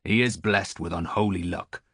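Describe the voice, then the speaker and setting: A man's voice: deep voice, moderate pace, fairly bright tone, slightly rough, no echo, studio quality. A man voicing a superstitious old male sailor on a harbour beach, stiff and scornful in manner.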